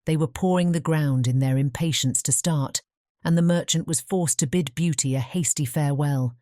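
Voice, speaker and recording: A woman's voice: sits low, moderate pace, mellow, no room sound, clean studio sound. A woman narrating a fairy tale in a scripted bedtime audiobook style.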